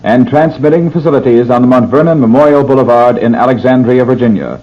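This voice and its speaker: A man's voice: medium pitch, fast. An American man reading a formal, scripted station identification in old radio announcing style. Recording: dull lo-fi old radio recording.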